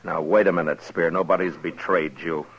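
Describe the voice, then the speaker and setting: An American actor playing a stern, fair-minded frontier marshal in a 1950s radio western, speaking firmly and plainly. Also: male voice, low voice, steady tone, normal speed, fairly bright tone, breathy edge. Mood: firm, reasoning. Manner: firm, plain-spoken, commanding. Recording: muffled vintage radio recording.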